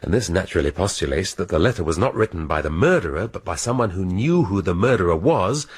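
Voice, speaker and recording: An actor playing a dry, erudite middle-aged police inspector in a radio drama, wry and precise. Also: male voice, sits low, animated, fast talker, slightly rough. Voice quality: wry, precise, dryly ironic.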